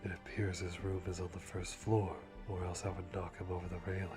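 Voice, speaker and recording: A man playing a calm, methodical hitman, musing to himself softly and deliberately with dry amusement in an ASMR roleplay. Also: deep voice, natural voice. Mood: calm, calculating. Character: calm, methodical male hitman planning a kill. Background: music.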